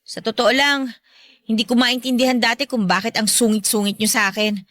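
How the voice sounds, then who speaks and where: A woman's voice: on the high side, steady tone, a little fast, fairly bright tone, dry recording, close to an everyday voice. A woman playing an earnest, forgiving young stepdaughter in a Filipino radio drama, with a Filipino accent. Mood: sympathetic.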